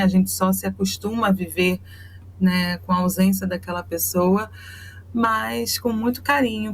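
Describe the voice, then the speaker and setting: Reflective Carioca woman, faint noise. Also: mid-range voice. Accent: Carioca Brazilian Portuguese. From Rio de Janeiro, Brazil. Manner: reflective, tender, many pauses and fillers. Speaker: guest, journalist. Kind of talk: personal interview.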